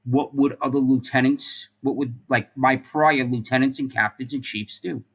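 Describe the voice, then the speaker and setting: Husky-voiced man, earnest and animated. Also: mid-range voice, normal speed. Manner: earnest, repeats words while thinking, says "like" and "right?" often. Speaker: podcast guest, former police officer and firefighter. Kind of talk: casual interview conversation.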